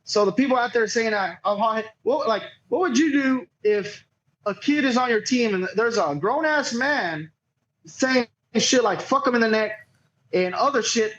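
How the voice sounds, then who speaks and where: A man's voice: very high-pitched, a bit bright, muffled recording, a little hiss or hum. A man being interviewed as a podcast guest, telling a story casually in a rambling, profane way and often quoting others. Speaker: podcast guest, cornhole player.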